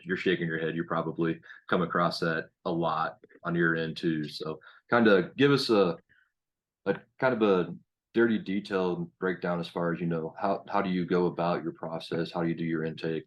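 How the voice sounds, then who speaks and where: Deep raspy host guy, late 30s, laid back, lots of um and you know. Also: little pitch movement, moderate pace, muffled recording. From Kansas, USA. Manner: rambling, many fillers and restarts, laid-back. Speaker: podcast host. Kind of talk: casual podcast interview.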